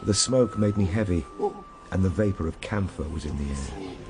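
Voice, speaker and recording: A man's voice: low voice, normal speed, noisy background. A man playing a time traveler recounting his ordeal in solemn, literary radio drama narration. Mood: weary. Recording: radio drama over loud background ambience.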